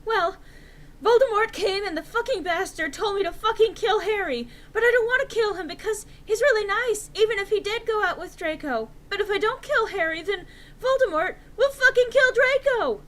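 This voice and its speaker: A woman's voice: extremely high pitch, lively intonation, normal speed, a bit bright, voice pushed up. A woman voicing a melodramatic, foul-mouthed teenage goth vampire girl with overwrought, shrieking delivery in a comic story reading. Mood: angry, tearful.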